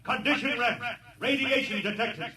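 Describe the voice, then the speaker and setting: A man's voice: very high-pitched, normal speed, very noisy. An actor playing an urgent, clipped spaceship crewman calling out alerts and reports in a 1950s radio drama. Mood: urgent alarm. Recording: distant vintage radio recording.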